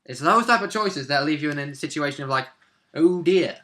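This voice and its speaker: A man's voice: fairly high pitch, expressive, brisk, bright, forceful tone. A young man, a player and co-host, chatting casually about a roleplay game at home. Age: young adult. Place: small room at home, indoors.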